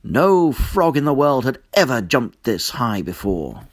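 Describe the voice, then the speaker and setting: A man narrating a children's story in an expressive, deliberate storytelling manner. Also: medium pitch, dry recording, clean studio sound. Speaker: storybook reader.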